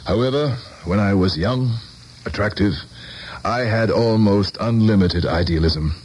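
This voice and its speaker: An actor playing a cynical, condescending middle-aged politician in a radio drama, speaking formal, measured American English. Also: male voice, on the low side, a little mellow. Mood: measured, reflective. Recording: noisy old radio broadcast.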